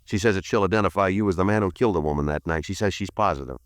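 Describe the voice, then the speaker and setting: An actor playing a terse, unflappable police detective, clipped and deadpan, in a 1950s radio crime drama. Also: male voice, deep voice, racing, no room sound, studio quality, close to an everyday voice. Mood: dry, firm.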